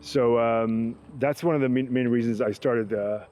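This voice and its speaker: A man from Israel, founder of an urban farming company, explaining his mission in an earnest, measured way. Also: mid-range voice, steady tone, a little mellow, a little hiss or hum. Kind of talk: interview-style talk to camera. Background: background music.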